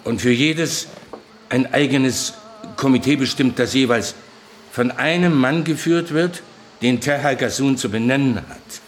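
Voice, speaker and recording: An actor playing a methodical man at a war council in a radio play, deliberate and pausing weightily. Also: medium pitch, a little hiss or hum, lightly acted. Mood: deliberate, businesslike. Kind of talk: German radio play acting.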